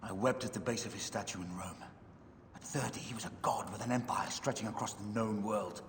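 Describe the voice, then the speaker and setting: A man's voice: on the low side, brisk, natural voice. A man playing a proud, brooding Roman general in his fifties in a dramatic video game scene. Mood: wistful, brooding. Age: 50s. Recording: echoey hall, long reverb, faint noise.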